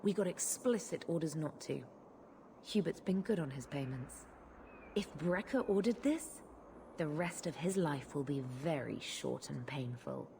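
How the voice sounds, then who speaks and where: An actress voicing a tough, cynical criminal enforcer in a video game, sardonic, blunt and coolly menacing. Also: female voice, deep voice, expressive, unhurried, gravelly, mellow, slight background noise, exaggerated voice. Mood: blunt, impatient. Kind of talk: video game cutscene acting.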